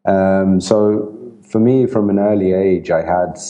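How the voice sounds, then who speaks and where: A low-voiced middle-aged man who grew up in England and spoke Italian at home, talking as an aid worker in an interview. His tone is very dark and mellow. He chooses his words slowly and thoughtfully, restarting his sentences often. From Italy, raised in England. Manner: hesitant, many fillers and restarts, thoughtful. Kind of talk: interview answers.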